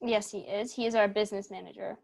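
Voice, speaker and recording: A woman's voice: mid-range voice, fairly even intonation, fast, gravelly, a little mellow. A woman chatting as an interview guest, thinking aloud and searching for her words. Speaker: artistic director, interview guest. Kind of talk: casual interview chat.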